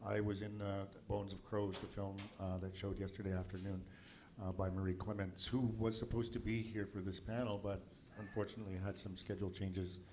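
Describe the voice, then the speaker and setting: A man's voice: deep voice, little pitch movement, fairly quick, darkish tone, slight background noise. A man from Nova Scotia moderating a film festival panel in a loose, chatty way with many fillers. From Nova Scotia, Canada.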